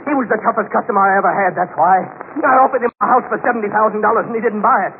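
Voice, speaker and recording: An actor playing a manic, high-strung estate agent in an old-time radio comedy, excitable and theatrical. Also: male voice, rapid-fire. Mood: agitated. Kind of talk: 1940s-50s American radio comedy acting. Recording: tinny, hissy old radio recording.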